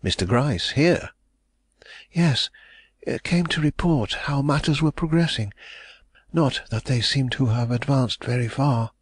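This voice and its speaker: A man's voice: mid-range voice, lively intonation, measured pace, husky, no echo, voice pushed up. A man voicing an anxious young rich woman, with emotional, breathless pleading in his delivery.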